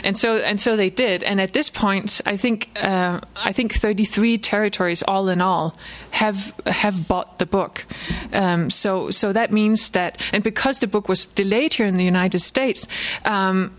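A woman author with a Danish accent, a native Danish speaker, talks informally to an audience. Her delivery is halting, with words doubled and restarted as she thinks aloud. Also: medium pitch. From Denmark. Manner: halting, frequent self-repetition, chuckles often. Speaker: author giving a book talk. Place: live book event before an audience, recorded for a podcast.

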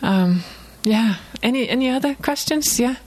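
A woman's voice: on the high side, expressive, darkish tone. Danish woman giving an informal author talk. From Denmark. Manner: halting, frequent self-repetition, chuckles often. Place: live book event before an audience, recorded for a podcast.

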